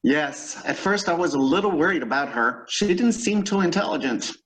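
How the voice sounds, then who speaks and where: A man plays a short-tempered store supervisor in an old-time radio comedy. His voice is high for a man and swings widely in pitch. He comes across as fussy and indignant. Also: animated, normal speed, a bit bright, poor audio. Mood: fussy, businesslike.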